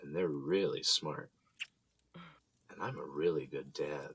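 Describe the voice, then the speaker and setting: A man's voice: a bass voice, animated, slow pace, vocal fry, fairly bright tone, a little hiss or hum, full character voice. A man playing an easygoing, affectionate husband and father, playful and coaxing, in a naturalistic audio drama.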